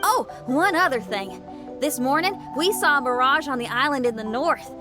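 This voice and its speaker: An eager young woman adventurer chats casually in a video game. Her voice is high and cheerful. Game music plays clearly beneath her. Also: expressive, normal speed. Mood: friendly. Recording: game dialogue over background music.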